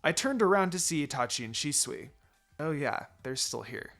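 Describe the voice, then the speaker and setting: A man reading a first-person fan fiction story aloud in an expressive narrating style, with music under the voice. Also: on the high side, normal speed.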